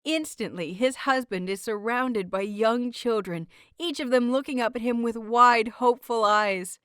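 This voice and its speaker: A woman hosting and narrating a fiction audiobook in an expressive, carefully enunciated storytelling style. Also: a little high, a little slow, smooth, no echo, studio quality. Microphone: close microphone, dry recording.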